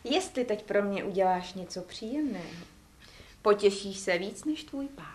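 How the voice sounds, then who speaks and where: A woman's voice: mid-range voice, lively intonation, darkish tone, natural voice. A woman playing a seductive, demanding mistress in a comic stage play, coy and teasing as she gives orders. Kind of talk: live comic stage acting. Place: theatre stage. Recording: noisy live theatre recording.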